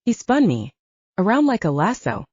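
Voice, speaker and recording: A woman's voice: mid-range voice, huge pitch swings, normal speed, a little mellow, breathy edge, no echo, muffled recording. A woman narrating a fiction audiobook in a dramatic first-person storytelling style.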